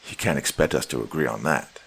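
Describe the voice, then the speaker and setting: A man's voice: rumbling low, fast, creaky, fairly bright tone, slightly rough, heavily acted. A man voicing a nervous young man who explains in a hurry. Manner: anxious.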